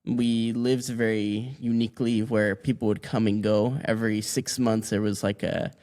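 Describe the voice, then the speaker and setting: A man in his twenties who grew up in Mexico, telling his story as a podcast guest in a relaxed, rambling way with many restarts. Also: on the low side, normal speed. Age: 20s. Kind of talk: casual interview answers.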